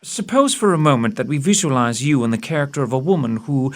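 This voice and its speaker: A man playing a shrewd, logical gentleman detective in an audio drama, precise, formal and inquisitive. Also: medium pitch, expressive, quick pace, natural voice. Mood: analytical, brisk. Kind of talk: audio theatre acting.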